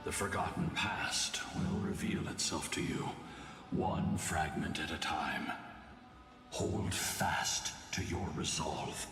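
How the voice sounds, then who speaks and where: A man playing a solemn, commanding ancient guardian in a fantasy game, speaking gravely and portentously. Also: low-pitched, slow pace. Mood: grave, foreboding. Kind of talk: dramatic fantasy game voice acting. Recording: very echoey hall reverb, music behind.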